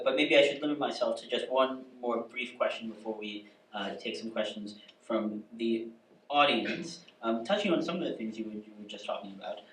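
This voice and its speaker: A man's voice: mid-range voice, fairly bright tone, a little hiss or hum. A man hosting a public conversation, asking questions hesitantly with many fillers. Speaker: host, moderator. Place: café. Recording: echoey room from far off.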